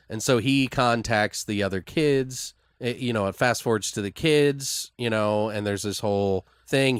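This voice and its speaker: Low-voiced man brainstorming, close dry sound. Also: clear, resonant tone, dry recording. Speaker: podcast host. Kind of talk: casual improvised story brainstorming.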